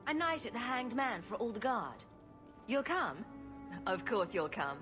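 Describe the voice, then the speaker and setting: A woman's voice: fairly high pitch, very animated, a little slow, over music. A woman playing a sarcastic, teasing and confident rogue adventurer in a video game cutscene, glib in her delivery. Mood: breezy.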